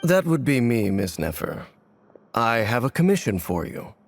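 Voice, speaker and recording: A man playing a secretive, ancient masked wanderer in a video game, measured, solemn and guarded, with a gravelly voice. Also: mid-range voice, sing-song, soft background music.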